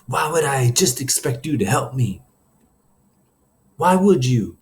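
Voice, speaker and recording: A man's voice: on the high side, animated, normal speed, pitched above the performer's own voice, lightly acted. A man voicing a distraught, defiant teenage boy in a story, pleading with a strained, breaking voice. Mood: defiant, shaken.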